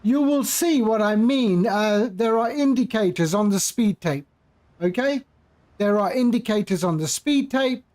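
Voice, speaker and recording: Flight sim streamer chatting w viewers, slow easy pace, keeps repeating stuff, mid pitch. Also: lively intonation, darkish tone. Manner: patient explainer, repeats phrases, chats with viewers. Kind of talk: casual live-stream commentary.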